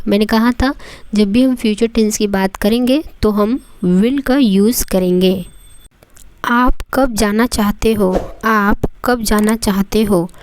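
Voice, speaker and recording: A woman from India with an Indian accent, teaching English phrases in a lesson and repeating each example slowly and deliberately. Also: on the high side, darkish tone, no room sound. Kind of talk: Hindi-language English lesson with drilled phrases.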